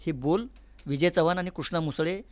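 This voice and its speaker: A man with a Maharashtrian accent speaks as an interview guest. His voice sits fairly high, and he explains earnestly, listing examples as he goes. The studio sound is very dry, with no room around it. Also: brisk, dry recording. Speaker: interview guest, folk artist and academic department head. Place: recording studio.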